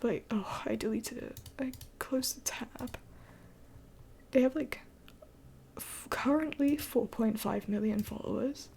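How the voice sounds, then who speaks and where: A woman's voice: fairly high pitch, slow, creaky, warm tone, slight background noise. A woman in her twenties hosting a late-night live Q&A, rambling with many fillers and often dropping to a whisper. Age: 20s. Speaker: livestream host.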